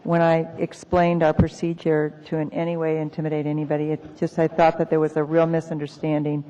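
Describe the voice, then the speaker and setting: A woman official giving a measured explanation at a public meeting. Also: sits low, monotone, mellow. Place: city assembly chamber. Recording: dull lo-fi meeting recording.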